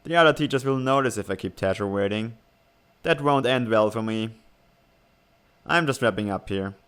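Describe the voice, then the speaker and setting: An actor playing a calm, analytical young man at a military academy, measured and matter-of-fact, in a comic fan dub. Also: lowish voice, smooth, lightly acted. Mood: calm, pensive.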